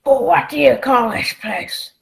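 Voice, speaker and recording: A gruff old sea captain acted by a woman for a radio play, pitched high yet full of gravel. The talk is salty and commanding, that of a hard-drinking pirate used to being obeyed. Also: measured pace, exaggerated voice. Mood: bossy, brusque.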